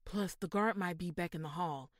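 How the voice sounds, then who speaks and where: A woman's voice: on the low side, moderate pace, dry recording, studio quality, close to an everyday voice. An actress playing a practical, sardonic woman in a crew of amateur robbers, in an audio drama. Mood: tense.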